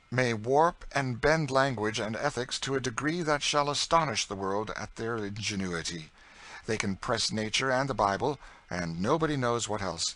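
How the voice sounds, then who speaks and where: A man playing a witty, teasing young gentleman who banters with his cousin in an audiobook reading. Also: mid-range voice, voice pushed up, close to an everyday voice. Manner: bantering, ironic, then impassioned. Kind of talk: audiobook character voice.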